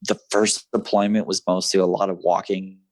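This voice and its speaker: Deep-voiced man answering thoughtfully. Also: flat delivery, a little mellow, no echo. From grew up in Southeast Asia. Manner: measured, thoughtful, with frequent fillers and restarts. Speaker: guest, freelance writer and veteran. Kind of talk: podcast interview answers.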